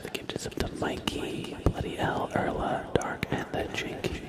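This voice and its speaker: A man hosting a live ASMR stream, speaking in a breathy, hushed whisper with repeated, drawn-out words. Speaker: ASMR livestream host. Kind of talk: live ASMR, thanking viewers and chatting.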